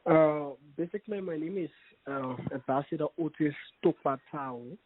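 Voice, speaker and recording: A man's voice: fairly high pitch, moderate pace, slight background noise. A young man from Liberia, a guest in a casual interview, speaking earnestly and hesitantly with many fillers. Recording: dry, phone-like call audio.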